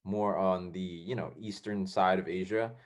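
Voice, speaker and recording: A man's voice: low voice, steady tone, moderate pace. A young man hosting a casual podcast, rambling with many fillers and restarts. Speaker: podcast host.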